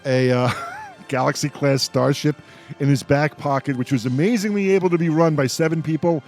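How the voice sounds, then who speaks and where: A man's voice: a little high, fairly even intonation, fairly quick, darkish tone, soft background music. Wry man hosting a panel. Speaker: radio show host. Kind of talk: casual panel discussion.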